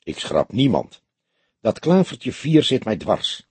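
Audiobook role: male reader as older police detective, very husky, grave, deliberate, dry wit, close dry sound. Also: on the low side, very animated, no echo, full character voice. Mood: pensive. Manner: deliberate, explanatory, dryly humorous. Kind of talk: audiobook character dialogue.

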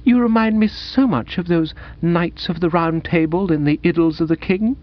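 A man voicing a dreamy, sentimental young woman with a gushing, halting, earnest delivery in a dramatised audiobook. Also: fairly high pitch, muffled recording, voice pushed up, natural voice.

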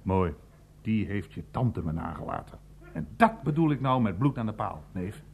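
An actor playing a teasing, worldly old uncle in a radio play, blustering and mocking in drawn-out, emphatic phrases. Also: male voice, lowish voice, big pitch swings, vocal fry, phone-quality sound, slight background noise. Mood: boastful storytelling. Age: older.

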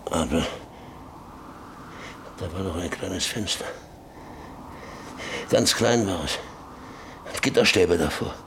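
A man acting in a German radio drama, playing a stubborn, guilt-ridden middle-aged landowner who broods haltingly and repeats his phrases. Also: deep voice, vocal fry, slight background noise, full character voice. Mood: weary, dreamlike.

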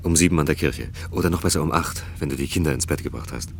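An actor playing an uneasy, guarded lover in a German radio play, speaking in terse, halting, evasive phrases. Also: male voice, rumbling low, creaky, a little hiss or hum.

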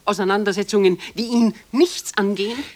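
An actress plays a proud elderly lady of a grand house in a radio play. She speaks formally and with emphasis in a mid-pitched voice, imploring and fiercely protective of her son. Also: fairly quick, close to an everyday voice. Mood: indignant. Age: older. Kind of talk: German radio drama acting.

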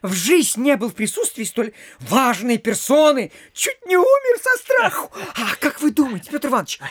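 An actor playing an excitable, awestruck little provincial gentleman in a classic comedy audio drama, eager and breathless. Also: very high-pitched, normal speed, exaggerated voice.

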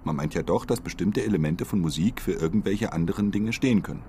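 An actor playing an earnest, long-winded envoy in a radio drama, speaking politely and articulately. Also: male voice, low-pitched, fast talker, dark tone, slight background noise. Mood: frank, earnest. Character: earnest, wordy male envoy pitching for a mysterious client.

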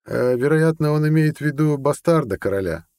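A man voicing a sly, deliberate judge with a lawyerly manner in an audiobook. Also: a little high, lively intonation, darkish tone, clean tone, no echo, pitched above the performer's own voice. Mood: hesitant, explanatory. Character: sly, measured older judge, a scheming conspirator. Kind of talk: audiobook character dialogue.

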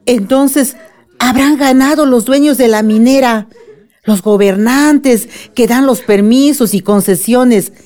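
A woman playing a wise older grandmother in a radionovela, earnest and deliberate as she consoles and persuades. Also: on the high side, darkish tone. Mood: challenging. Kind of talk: radionovela acting.

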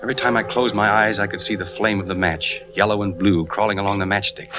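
A man's voice: lowish voice, steady tone, fast, natural voice. An American actor playing a suspicious, anguished husband, an insurance investigator who narrates his story in a tense 1940s radio drama style. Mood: brooding, tense. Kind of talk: 1940s radio drama acting, first-person suspense narration. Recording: dull 1940s radio recording with music.

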